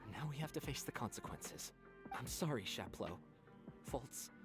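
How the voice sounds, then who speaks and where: A woman's voice: rumbling low, animated, moderate pace. A woman voicing a remorseful young rule-breaker in an anime video game, solemn and apologetic. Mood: remorseful, resigned. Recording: game music under the voice.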